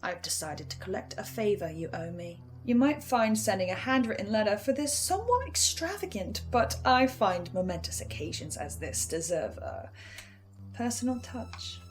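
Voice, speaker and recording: A woman performing a formal, composed and menacing reader of a sinister letter in a horror audio drama, measured and deliberate. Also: medium pitch, big pitch swings, natural voice. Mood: quietly menacing. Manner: measured, deliberate, ominously polite.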